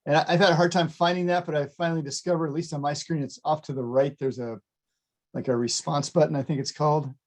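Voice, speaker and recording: A man chairing a community advisory meeting over a video call, talking haltingly with many fillers and restarts. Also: a little high, steady tone.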